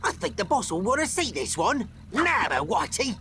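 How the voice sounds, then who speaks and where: A man's voice: sits high, sing-song, normal speed, bright, forceful tone, a little hiss or hum, exaggerated voice. An actor playing a small, cocky rat henchman who sneers and boasts, in a video game. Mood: sneering.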